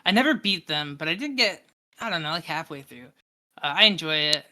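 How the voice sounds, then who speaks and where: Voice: mid-range voice, lively intonation, brisk, pushed and bright. A podcast co-host chatting about games in an informal, easygoing conversational way. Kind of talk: casual chat about video games.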